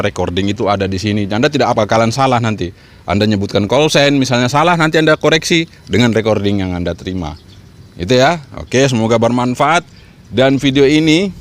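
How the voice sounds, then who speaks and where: A man giving an informal instructional vlog talk, encouraging and repeating his points as he explains. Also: lowish voice. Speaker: amateur radio vlogger and trainer.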